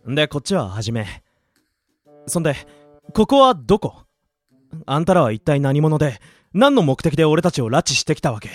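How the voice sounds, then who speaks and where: Woman as a husky, exasperated young male straight man. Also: low voice, sing-song, moderate pace, long pauses, no echo, studio quality, faint music behind. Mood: wary. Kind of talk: anime-style radio drama acting.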